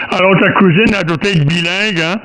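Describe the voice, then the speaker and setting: A man's voice: high voice, moderate pace, bright, forceful tone, rough recording. A man with a Québécois French accent chatting casually and bluntly as an amateur radio operator into a studio microphone. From Quebec, Canada.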